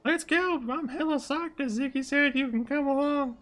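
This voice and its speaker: A man's voice: very high-pitched, normal speed, fairly bright tone, voice pushed up. A man voicing an eager, friendly, cheeky young guy in a tabletop role-playing game, full of enthusiasm. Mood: excited.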